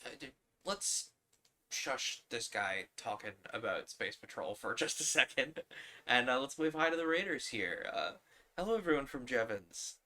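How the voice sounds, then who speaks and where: A man with a bright, forward voice at an ordinary male pitch hosts a casual livestream. He rambles conversationally, drifting between talking to his chat and muttering to himself. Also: big pitch swings, normal speed. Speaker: livestream host.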